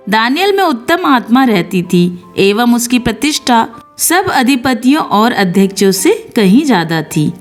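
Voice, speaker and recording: A woman reading a scripted devotional Bible story in an earnest, storytelling manner. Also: on the high side. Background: background music.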